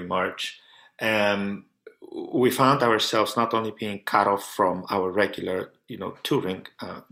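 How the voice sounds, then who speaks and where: A man's voice: lowish voice, gravelly, fairly bright tone. A man from Poland, a clarinet professor answering radio interview questions at length, often restarting and repeating words. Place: remote call into a radio show. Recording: roomy echo.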